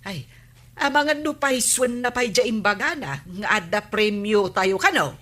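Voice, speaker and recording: An actress with an Ilocano accent playing a sharp-tongued, inquisitive village wife in a comedy drama. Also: female voice, medium pitch, lively intonation, measured pace. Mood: chatty, eager.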